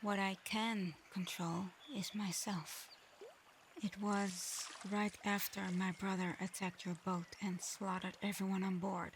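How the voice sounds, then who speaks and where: A gentle shapeshifting dragon in human form, acted out by a woman in an ASMR roleplay. She speaks slowly and softly in an even, restrained tone, halting with regret and often slipping toward a whisper. Faint ambient noise sits behind the voice. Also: mid-range voice, close to an everyday voice. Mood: gentle, regretful. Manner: soft, breathy, often near-whispered, halting.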